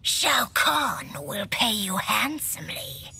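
A woman's voice: mid-range voice, big pitch swings, slow pace, creaky, over loud music, heavily acted. A woman playing a menacing, haughty mutant warrior princess, savage and theatrical, in a video game. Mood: scheming. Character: fanged mutant warrior princess, savage, proud, devoted to father. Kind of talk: video game character acting. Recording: game ambience noise behind the voice.

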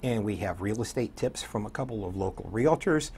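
An older American man with a husky voice, speaking as a radio DJ guest in a conversational studio interview. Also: fairly low pitch, expressive, brisk, a little mellow, a little hiss or hum. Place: small indoor TV studio.

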